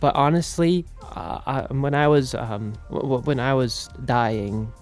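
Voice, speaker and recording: A woman voicing a nervous, excitable, friendly young male cat in an in-character fan Q&A, halting and then bursting with excitement. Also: rumbling low, faint music behind. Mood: emotional, hesitant.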